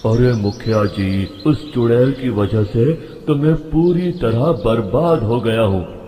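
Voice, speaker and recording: A grumbling village merchant in a cartoon folk tale, played by a man, complains and scoffs at a slow pace. His mid-pitched voice rings with long reverb. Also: dark tone, natural voice. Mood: pleading. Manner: exclaiming, complaining. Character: grumbling male village shopkeeper, anxious, scornful. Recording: long reverb, faint insect noise.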